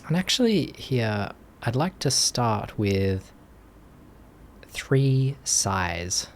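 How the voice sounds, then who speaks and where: A man leading a guided meditation as a teacher, speaking gently in short phrases with long pauses. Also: medium pitch, big pitch swings, slight background noise. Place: live online group session.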